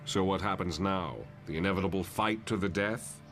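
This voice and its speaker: A man voicing a calm, fatherly telepath professor in a motion comic, speaking in a measured, wry, articulate way. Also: sits low, soft background music. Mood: cool, probing. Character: calm, fatherly older male telepath professor confronting his son. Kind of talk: dramatic motion comic voice acting.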